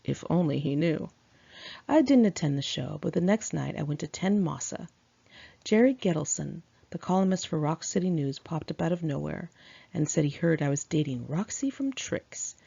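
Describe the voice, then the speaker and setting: A woman's voice: low voice, lively intonation, normal speed, creaky, mellow, husky. A woman reading her own memoir aloud in a candid, chatty, sassy conversational style, on a dull lo-fi home recording.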